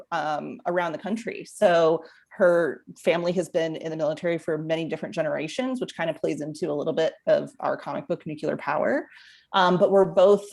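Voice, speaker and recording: A woman's voice: on the low side, darkish tone. An American woman telling a story conversationally in an interview, chatty, with plenty of "like" and "you know". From Florida, United States. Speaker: comic book and TV writer, podcast guest.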